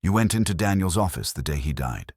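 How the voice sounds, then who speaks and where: A man's voice: low voice, big pitch swings, fairly quick, darkish tone, no room sound, clean studio sound, voice pushed up. A man voicing a wry, cool private investigator in a detective audiobook, wisecracking as he probes. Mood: pressing. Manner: wisecracking, probing.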